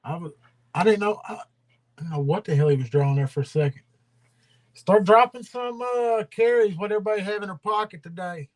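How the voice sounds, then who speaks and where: A man's voice: high voice, brisk, low-quality recording. A man hosting a casual livestream, rambling and drawling, his voice trailing off into mumbles. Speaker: livestream host.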